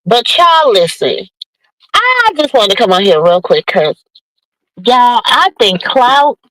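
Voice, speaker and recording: A woman's voice: on the high side, very animated, takes it slowly, a bit bright, no room sound, poor audio. Nasal-sounding woman chatting to viewers. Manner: drawling, calls listeners baby and y'all. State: nasal from a sinus infection. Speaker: podcast host. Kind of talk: casual chat to viewers.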